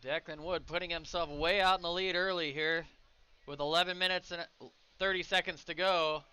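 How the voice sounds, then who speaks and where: A man calls surf heats live from a beach booth in a relaxed, chatty way, naming each rider. His voice is a little high, bright and very clear. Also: normal speed, dull audio. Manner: chatty, laughs easily, calls riders by name. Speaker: surf contest commentator. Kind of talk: live sports commentary. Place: outdoor beach contest booth.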